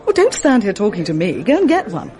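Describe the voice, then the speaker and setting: A bold, teasing Oxford student. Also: female voice, medium pitch, wildly swooping pitch, fast talker, darkish tone. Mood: lively. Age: young adult. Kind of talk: 1980s radio drama acting. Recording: radio drama with party crowd behind.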